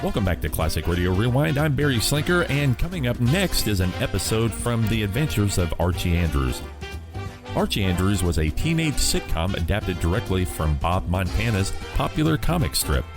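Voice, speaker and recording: A man's voice: on the low side, sing-song, moderate pace. A man hosting a radio show, delivering scripted announcements and introductions. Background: guitar music.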